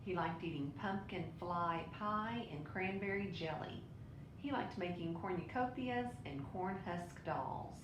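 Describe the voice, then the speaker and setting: A woman reading a children's picture book aloud in an expressive way. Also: unhurried. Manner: expressive, with brief asides to listeners. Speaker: storybook reader. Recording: roomy echo, clear low rumbling noise.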